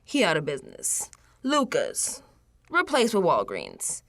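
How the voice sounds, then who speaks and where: A woman's voice: on the low side, wildly swooping pitch, unhurried, full character voice. A woman playing a streetwise, unsettled young American woman in an audio drama monologue, confiding in colloquial, emphatic speech. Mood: resigned, baffled. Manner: colloquial, sassy, emphatic, dropping into whispers.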